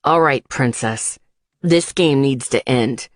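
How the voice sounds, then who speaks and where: Female narrator as gruff biker guy, low-pitched, blunt, protective, commanding, audiobook character. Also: slow pace, dry recording, studio quality. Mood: gruff, firm. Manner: terse, commanding.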